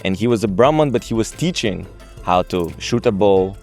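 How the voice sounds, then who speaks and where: A man in his twenties from Israel, a podcast guest explaining in a calm, matter-of-fact way. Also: on the low side, normal speed, soft background music. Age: 20s. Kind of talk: podcast interview.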